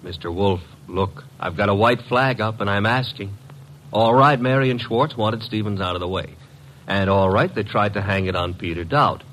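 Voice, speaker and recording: A man's voice: fairly low pitch, moderate pace, a bit bright, lightly acted. An actor playing a wisecracking, brash detective's legman in an old radio drama, wry and colloquial. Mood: exasperated, curious. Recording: noisy vintage radio drama recording.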